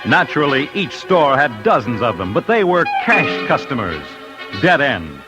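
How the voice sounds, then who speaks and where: A man's voice: medium pitch, sing-song, normal speed. Dramatic, swooping American radio narrator, male. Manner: punchy, suspenseful short phrases. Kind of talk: dramatic 1940s-50s radio crime narration. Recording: old radio broadcast with music underneath.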